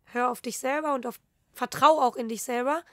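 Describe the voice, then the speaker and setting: A woman's voice: sits high, fairly quick, no room sound, studio quality. A young woman, a professional football player, talking as a podcast guest in a reflective, hesitant way, searching for words. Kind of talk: casual interview chat.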